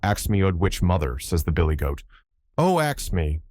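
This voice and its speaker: An old Irish seaman, acted out by a male narrator in a storybook reading. He is excitable, folksy and rambling, with a low voice and a close, dry sound. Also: moderate pace, no echo. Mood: lively storytelling. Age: older. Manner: rambling, colloquial yarn-spinning.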